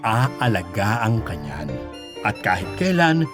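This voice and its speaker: Animated, curious Filipino dad, swooping voice. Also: male voice, fairly low pitch, music in the background. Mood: proud, warm. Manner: halting, repetitive, animated teasing. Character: curious, chatty, playful father meeting son's girlfriend. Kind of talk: Filipino radio drama acting.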